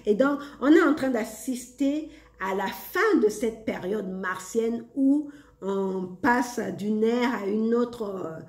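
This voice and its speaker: A woman's voice: a little high, animated, slow pace, darkish tone, echoey room. A woman vlogger talking casually and reflectively to her viewers, hesitant with many fillers and frequent laughs. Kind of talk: casual spiritual monologue.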